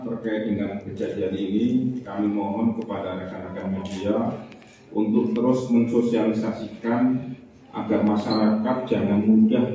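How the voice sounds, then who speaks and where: Brief: male police spokesman, mellow low voice, deliberate formal advisory, dull distant hall sound. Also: a little hiss or hum. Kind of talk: formal public advisory at press conference. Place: large room at a press conference.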